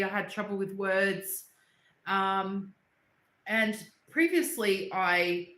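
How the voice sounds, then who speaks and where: A woman's voice: medium pitch, fairly bright tone. A woman hosting a casual livestream, rambling through personal stories and answering viewers' comments, with English as her second language. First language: not English. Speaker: livestream host reading chat. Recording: echoey room.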